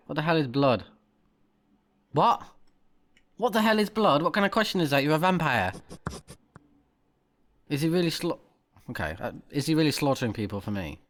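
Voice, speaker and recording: A man doing casual let's play commentary, blunt, sweary and expressive, sometimes reading game text aloud. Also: on the high side. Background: game music.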